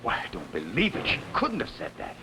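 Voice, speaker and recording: An actor playing a proud, easily affronted concert conductor in an early 1950s TV mystery, formal and imperious. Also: male voice, deep voice, huge pitch swings, a little fast, heavily acted. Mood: indignant. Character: proud, indignant male concert conductor, recently widowed. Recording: noisy 1950s TV soundtrack.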